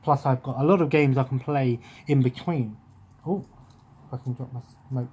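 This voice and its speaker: A man's voice: mid-range voice, moderate pace, warm tone, breathy edge, lo-fi sound. A young man with an English accent, chatting loosely to his webcam from a sofa at home, wandering off track and restarting his sentences. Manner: rambling, absent-minded, many restarts. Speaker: gaming vlogger. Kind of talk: casual chat to camera. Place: living room at home, sofa. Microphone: webcam at close range.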